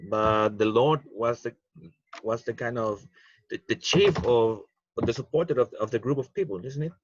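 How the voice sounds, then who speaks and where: Guy answering in online class, halting, kinda muffled dry laptop mic. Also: mid-range voice, dry recording. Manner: hesitant, halting, searching for words. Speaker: student.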